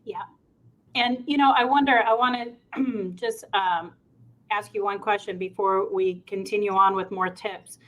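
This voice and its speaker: A woman's voice: medium pitch, fairly even intonation, unhurried, a bit bright, poor audio. A woman co-hosting in an informal, encouraging way, asking questions in a conversational style. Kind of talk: conversational interviewing.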